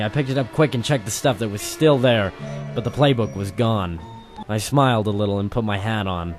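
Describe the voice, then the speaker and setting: A man's voice: mid-range voice, close to an everyday voice. A man playing a weary, wry private detective, narrating and talking tersely in a noir radio drama. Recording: music playing behind the voice.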